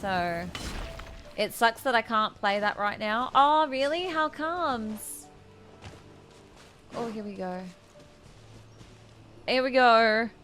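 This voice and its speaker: Casting: female game streamer, bright and fairly high, chatty, casual, speaking to viewers. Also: animated, slow pace, pauses often, faint music behind. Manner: chatty, emotionally invested, addresses chat. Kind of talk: casual livestream game commentary.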